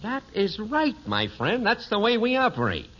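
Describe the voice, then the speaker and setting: A slick, ingratiating crook, high-pitched and swinging lively through his patter. It is old radio sitcom acting on a muffled, noisy recording. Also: moderate pace, full character voice. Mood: slick, obliging. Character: shady fast-dealing crook posing as a friendly tradesman.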